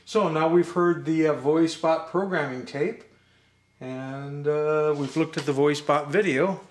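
An older man casually thinking aloud as he demonstrates something hands-on, musing and low-key, picked up by a camera mic indoors. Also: unhurried. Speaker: vintage robot channel host. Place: indoor room with glass display table. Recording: echoey room, clear noise, handling clicks.

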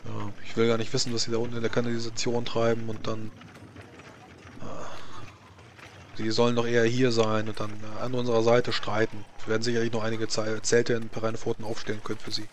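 A man improvising in-character fantasy roleplay talk, halting as he searches for words. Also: lowish voice, completely flat delivery, slight background noise.

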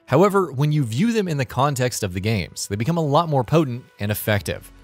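A man's voice: medium pitch, lively intonation, fairly quick, faint music behind. A man reading scripted narration for a video game list show in a polished, emphatic way.

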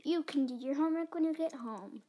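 A woman's voice: high voice, a little fast, dark tone, lightly acted. A young female performer voicing a strict, bossy female gym teacher in a home doll video, curt and commanding. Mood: dismissive. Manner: barking orders, curt. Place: carpeted bedroom floor.

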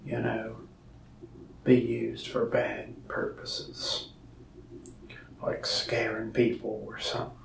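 A young man playing a lonely, friendly mask shop salesman in a soft-spoken ASMR roleplay, talking slowly and often slipping into a whisper. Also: mid-range voice, echoey room, recorded from a distance, slight background noise, full character voice, rough recording. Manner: slow, soft-spoken, gravelly with frequent whispered stretches. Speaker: ASMR creator. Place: small indoor room, mask wall behind.

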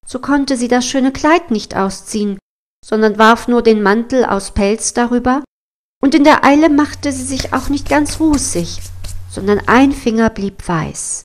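A woman's voice: fairly high pitch, measured pace. A woman storyteller narrating a scripted fairy tale.

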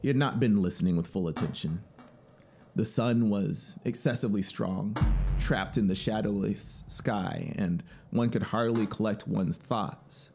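A man in his thirties narrating a literary story in an expressive, deliberate, dramatic way, close to a studio microphone. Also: lowish voice, gravelly, faint music behind. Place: small home music studio. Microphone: close studio microphone on boom arm. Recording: ambient soundscape noise behind voice. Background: synthesizer soundscape.

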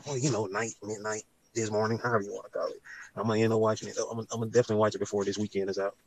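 A man's voice: lowish voice, level tone, racing, darkish tone, rough recording. A man chatting casually on a late-night live stream, rambling and using laid-back slang with lots of "you know".

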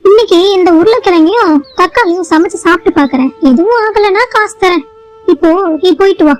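A woman's voice: very high-pitched, a little fast, pitched above the performer's own voice. A woman voicing a pushy, scheming female bird housewife in a children's cartoon moral story, demanding and wheedling by turns. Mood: brazen, dismissive.

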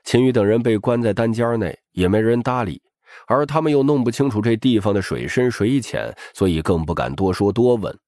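A man's voice: medium pitch, animated, a little fast, a little mellow, dry recording, studio quality. A man narrating a novel as a dramatic, scripted audiobook.

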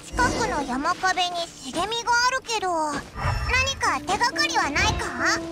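A little floating fairy companion in an anime game, curious and childlike. The voice is extremely high and very animated, with brash, boyish phrasing. Loud music plays behind it. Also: female voice, a little slow, heavily acted. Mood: curious, uneasy. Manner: brash boyish speech, exclamatory and expressive. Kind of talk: anime game character acting.

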